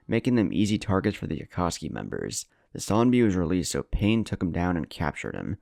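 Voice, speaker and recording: A man's voice: deep voice, vocal fry, darkish tone, dry recording, clean studio sound. A man reading a scripted story in a dramatic narrating style. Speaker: fan fiction narrator. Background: background music.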